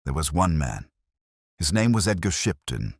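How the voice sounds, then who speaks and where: A man voicing a proper, hesitant Victorian widow in a hushed, deliberate, formal manner for a storybook. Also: very deep voice, big pitch swings, breathy edge, no room sound, studio quality, exaggerated voice. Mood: puzzled, recalling.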